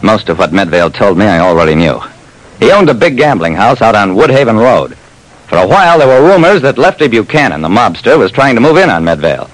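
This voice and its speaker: A man's voice: fairly low pitch, sing-song, fast talker, dry recording. An actor playing a tough private detective narrating his case in clipped, terse 1940s radio drama style, on a noisy old recording. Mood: hard-boiled narrating. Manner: clipped, terse, hard-boiled.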